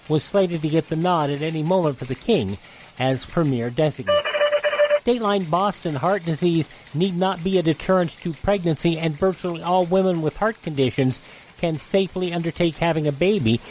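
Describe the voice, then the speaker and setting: A man reading news bulletins in a crisp announcer style. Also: a little high, darkish tone, slight background noise, poor audio. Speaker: newsreader.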